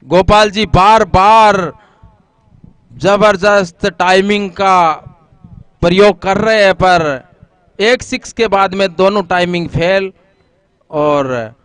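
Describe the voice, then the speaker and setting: A high-voiced man calls a live cricket match in a casual, chatty style. His delivery is slow, and his voice is clean and smooth, captured dry with no room sound. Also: a bit bright, no echo. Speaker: cricket commentator.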